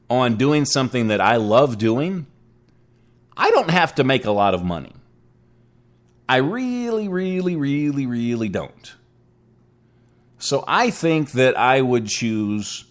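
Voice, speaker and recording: A man in his forties hosting a casual solo talk show, chatty and rambling, joking at his own expense. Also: medium pitch. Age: 40s. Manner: chatty, rambling, self-mocking. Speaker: internet radio show host.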